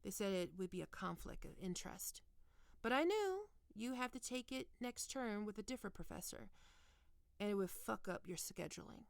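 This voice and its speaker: A woman acts out a stern goth college professor in an ASMR roleplay. Her mid-pitched female voice has plenty of vocal fry. She scolds and taunts with condescension, and the sound is dry, free of room echo. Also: big pitch swings, moderate pace. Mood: stern, scolding. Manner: scolding, condescending, dropping into breathy murmurs.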